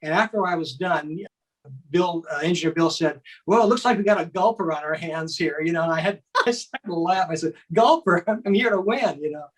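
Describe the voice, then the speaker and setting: An older man with a slightly high voice. He talks casually as a guest, telling personal memories in a loose, conversational way. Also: brisk, low-quality recording. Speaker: guest, former child contestant. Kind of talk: casual reminiscing.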